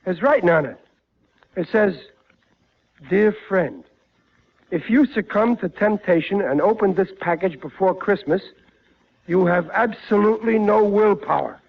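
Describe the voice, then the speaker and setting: A man's voice: high voice, a little slow, pauses often. An actor playing a stubborn, easily fooled American family father in 1940s radio comedy, blustering, stammering and exasperated. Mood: reading aloud. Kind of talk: 1940s radio sitcom acting. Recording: muffled vintage 1940s radio recording.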